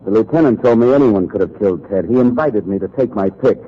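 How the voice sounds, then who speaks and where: An actor playing a hard-boiled, brooding newspaper columnist in 1940s radio noir, terse and sardonic, with an American accent. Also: male voice, lowish voice, quick pace, husky. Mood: determined. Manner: terse, world-weary, sardonic. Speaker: narrator and lead actor. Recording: muffled, noisy 1940s radio recording.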